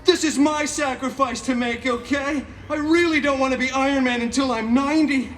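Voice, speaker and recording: A man's voice: very high voice, fairly even intonation, normal speed, fairly bright tone. A man playing a chaotic, cheeky, vain masked antihero who tells a story theatrically to a live audience with sassy, mock-sweet asides. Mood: mock desperate. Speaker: theme park stage show performer. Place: theme park stage, recorded from the audience. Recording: amplified live show, distant, crowd noise.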